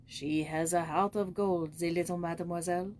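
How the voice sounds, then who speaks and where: A woman voicing a genial old French gentleman, fondly amused, with an indulgent, gently teasing manner. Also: fairly low pitch, fairly even intonation, normal speed, slight background noise, close to an everyday voice.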